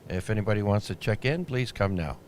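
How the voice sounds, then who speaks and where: A man acting as net controller on an amateur radio net, talking in a relaxed, halting way with many fillers. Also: on the low side. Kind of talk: ham radio net chatter. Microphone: radio transceiver mic. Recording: noisy shortwave radio audio.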